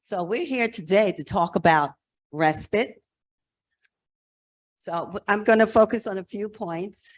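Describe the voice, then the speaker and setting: A woman's voice: mid-range voice, measured pace, pauses often. An American woman in her sixties giving a conference presentation in a frank, emphatic, conversational way. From New Jersey, United States. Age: 60s. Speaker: presenter, nonprofit executive director.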